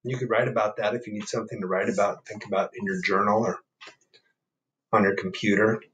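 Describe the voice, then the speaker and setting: A man's voice: lowish voice, steady tone, fairly quick, fairly bright tone, a little hiss or hum, low-quality recording. A man in his fifties doing a picture-book read-aloud, with casual asides and questions to the children listening. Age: 50s. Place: book-lined room at home.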